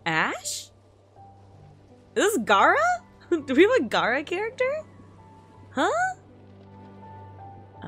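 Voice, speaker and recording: A woman streamer reading a manga aloud in an expressive, giggly way, with excited reactions in between. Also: a little high. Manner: expressive, giggly, switches into character voices. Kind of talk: live reaction read-aloud with excited asides. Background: music behind the voice.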